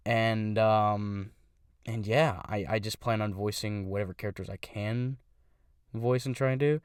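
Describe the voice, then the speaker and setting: A man's voice: fairly low pitch, steady tone, a little slow, clean tone, no echo, studio quality. A young man who does voice impressions, talking casually about his channel in a rambling way, stopping to correct himself.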